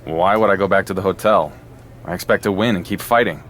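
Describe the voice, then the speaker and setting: A young underdog wrestler in a video game, dry and wry but determined. His voice sits low, with faint background ambience. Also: fairly quick, fairly bright tone, slight background noise.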